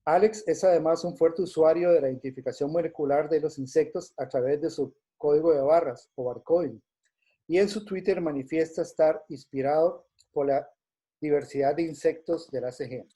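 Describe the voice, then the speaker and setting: Man, host, formal measured reading, slightly high pitch, mellow tone. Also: husky. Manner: formal, measured, with occasional hesitations. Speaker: event host.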